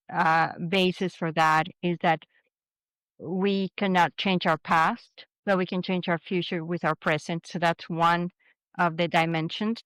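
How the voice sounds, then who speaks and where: A woman's voice: fairly low pitch, no room sound, poor audio. A woman in her sixties from Venezuela, a guest in an interview, speaking reflectively with frequent restarts. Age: 60s. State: subdued, grieving a recent loss. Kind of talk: casual interview talk.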